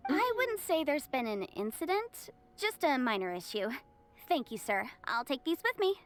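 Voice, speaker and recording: Young female lawyer, high voice, brisk, precise, assured, lively intonation, video game dialogue. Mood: businesslike. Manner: businesslike, articulate, assured. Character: brisk, confident young female legal adviser, precise and courteous.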